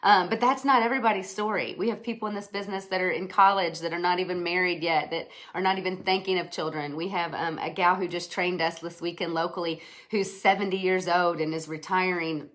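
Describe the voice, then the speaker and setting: A woman in her thirties with a slight head cold, giving an earnest, chatty business pitch on a live stream, with many fillers and restarts. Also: fairly even intonation, a little fast, a bit bright. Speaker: network marketing presenter.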